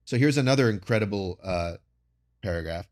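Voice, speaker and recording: A man's voice: fairly low pitch, animated, a little fast, a little mellow. A man co-hosting a podcast, making dry sarcastic asides and reading passages from an article aloud. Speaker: podcast co-host. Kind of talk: casual podcast chat, reading article excerpts.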